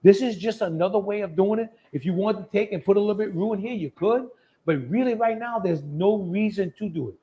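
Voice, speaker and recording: A man's voice: high-pitched, brisk, mellow. A man hosts a casual live cooking show. He talks in a folksy, chatty way, with plenty of down-home phrasing, as if to regulars.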